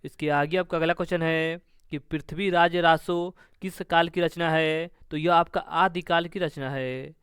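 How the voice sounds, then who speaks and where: Clear, higher-pitched man teaching exam questions. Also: steady tone, unhurried, few pauses, a bit bright, dry recording, clean studio sound. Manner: formulaic, repeats stock phrases between items. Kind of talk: quiz-style lesson, reading questions and answers.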